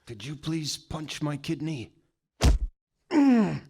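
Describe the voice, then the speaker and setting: A man performs a laid-back detective in a live theater comedy, his voice fairly high and swinging widely in pitch. He mumbles his hardboiled lines, often sinking to a low murmur. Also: sing-song, slow pace, dark tone, slightly rough. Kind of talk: live staged comedy acting.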